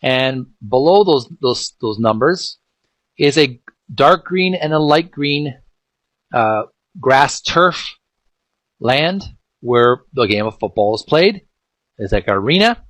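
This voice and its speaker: A man hosting a video game channel, reading aloud and commenting informally in halting, piecemeal phrases that often repeat words. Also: mid-range voice, animated, a bit bright, no room sound.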